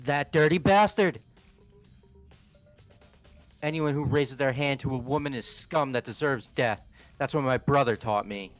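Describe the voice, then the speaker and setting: A man's voice: mid-range voice, a little slow, pauses often, lightly acted. A man voicing a hot-headed, foul-mouthed young tough guy in a video game, blunt and brash, with music behind. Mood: furious, threatening. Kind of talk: fan voice acting of a visual novel game.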